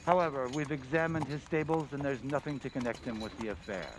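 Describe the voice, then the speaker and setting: A man playing an earnest, methodical police inspector in an old-time radio drama, giving formal, deliberate reports. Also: mid-range voice, lightly acted. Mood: matter-of-fact. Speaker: community theatre actor. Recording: clear background noise.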